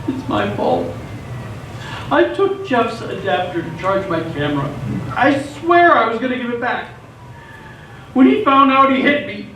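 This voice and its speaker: A man's voice: very high voice, very animated, a little slow, pauses often, fairly bright tone, heavily acted. A man acting an anguished, bewildered teenage boy vlogger in a live radio theatre play. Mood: anguished, guilty. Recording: echoey theatre stage, distant mic, faint noise.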